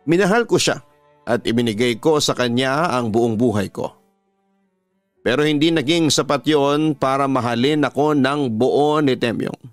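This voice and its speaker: A man's voice: mid-range voice, moderate pace, no room sound. A Filipino man narrates a listener's letter as a radio drama host. His mid-range voice delivers scripted, dramatic storytelling. It sits close and dry on a studio microphone, with a music bed behind it. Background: background music.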